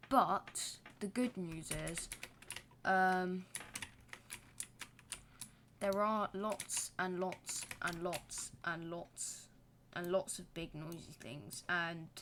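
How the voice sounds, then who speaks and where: A woman's voice: lowish voice, fairly bright tone, slight background noise. A young woman giving casual, self-deprecating commentary while building in a game, with rambling joking asides. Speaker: gaming channel host.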